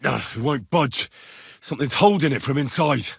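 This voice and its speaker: Casting: young man as an earthy, hot-headed medieval game hero; husky voice, very dry close sound. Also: mid-range voice, normal speed, no echo. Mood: weary, frustrated. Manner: plain-spoken, earthy, blunt. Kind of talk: video game character voice lines.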